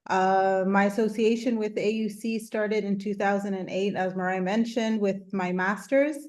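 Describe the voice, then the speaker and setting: A woman from Canada introducing herself on a video-call workshop in a relaxed, chatty way with many fillers. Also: medium pitch, normal speed. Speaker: workshop co-facilitator. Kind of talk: self-introduction in online workshop.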